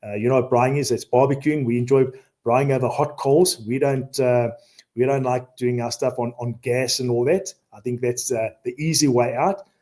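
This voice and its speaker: A man's voice: medium pitch, steady tone, normal speed, warm tone. A South African man in his fifties, a safari outfitter talking in a casual podcast conversation, earnest and prone to repeating words as he explains. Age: 50s.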